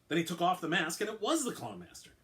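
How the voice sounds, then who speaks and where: Man doing a nervous beat cop, higher voice, hesitant, apologetic. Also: huge pitch swings, fast talker, a bit bright, full character voice. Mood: explaining. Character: reluctant uniformed police officer.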